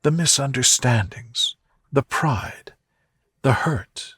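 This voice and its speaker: Male reader as a young gentlewoman in love: gentle, playful, raised pitch, breathy, for a bedtime storybook. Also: takes it slowly, dry recording, full character voice. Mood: thoughtful, tender. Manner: soft, murmuring, gentle. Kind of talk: romantic bedtime storybook reading.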